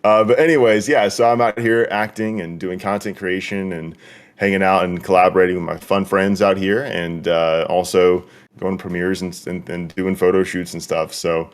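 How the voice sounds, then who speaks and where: Deep-voiced young man chatting easily. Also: steady tone, normal speed. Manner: easygoing, chatty with light laughs. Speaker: guest. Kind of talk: casual chat.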